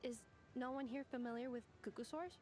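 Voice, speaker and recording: A woman's voice: high-pitched, fairly even intonation, fairly quick, a little mellow, lightly acted. An actress playing an earnest young woman who speaks with formal wording.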